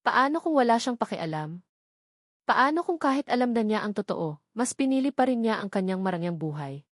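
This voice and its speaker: A woman reading a scripted, dramatic family love story as narrator, pausing between short phrases for effect. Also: on the high side, very animated, no echo, clean studio sound.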